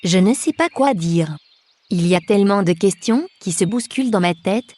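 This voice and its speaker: A woman's voice: mid-range voice, expressive, moderate pace, warm tone, lightly acted. A woman playing a bewildered young heroine in an audio drama, reading from a secret notebook.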